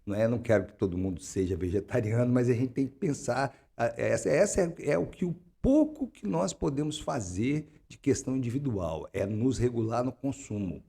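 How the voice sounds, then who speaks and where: A man talks through an interview in a loose, rambling way, often restarting and tagging his sentences with questions. His voice is dark and husky. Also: mid-range voice, lively intonation, a little fast, hardly any pauses. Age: 60s. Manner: rambling, frequent "né?" tags and restarts. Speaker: interview guest. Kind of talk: conversational interview.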